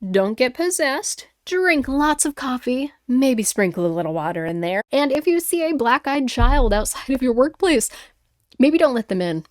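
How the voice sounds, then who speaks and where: A woman's voice: sits high, animated, normal speed, no echo, clean studio sound. A woman hosting her own show, moving between scripted story narration and chatty talk as an author. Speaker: host and author.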